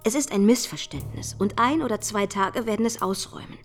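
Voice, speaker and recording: Eine Schauspielerin spielt in einem Hörspiel eine junge, weltgewandte Dame, die eindringlich und gewählt einen Brief vorträgt. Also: female voice, medium pitch, brisk, soft background music, lightly acted. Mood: urgent, insistent. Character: worldly, insistent young society lady writing letters. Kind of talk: radio drama acting, letter read aloud.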